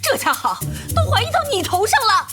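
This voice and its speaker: A woman voicing a fierce, bossy middle-aged wife in an animated crime drama, nagging with heavy sarcasm. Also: extremely high pitch, a little fast, loud music behind the voice, heavily acted. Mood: angry, scolding.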